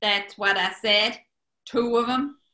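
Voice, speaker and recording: A woman's voice: mid-range voice, steady tone, takes it slowly, sharp tone. An actress playing a brash, sardonic old New York landlady haggling over shabby rooms in a 1940s-style radio comedy. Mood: hawking, shifty. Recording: echoey room.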